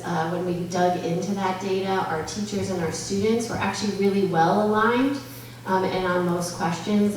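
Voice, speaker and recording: A woman's voice: mid-range voice, a little mellow. A woman, a school principal, presenting at a public meeting in an informal, explanatory way. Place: large echoey meeting room. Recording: distant mic, very echoey room, faint noise.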